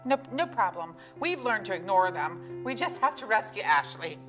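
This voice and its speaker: Animated, dryly teasing middle-aged wife, played by a woman. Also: mid-range voice, normal speed, over music, close to an everyday voice. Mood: firm, urgent. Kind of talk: staged play reading.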